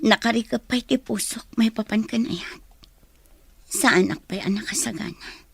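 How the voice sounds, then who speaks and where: A woman's voice: on the high side, moderate pace, vocal fry, breathy edge. A young woman with an Ilocano accent playing a heartbroken, reluctant woman confiding in a friend in a radio drama.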